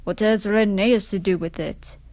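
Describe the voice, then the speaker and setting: A woman's voice: mid-range voice, steady tone, a little slow, darkish tone, no echo, natural voice. Lady doing a grumpy young boy train from a storybook, blunt, complains, dry recording. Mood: scornful. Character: grumbling, dismissive young male steam engine.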